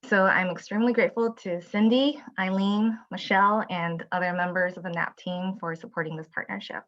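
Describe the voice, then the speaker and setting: A middle-aged woman from Taiwan, a company founder giving an earnest, measured presentation with frequent ums over a video call. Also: mid-range voice, fairly even intonation, fairly bright tone, highs cut off. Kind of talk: prepared presentation.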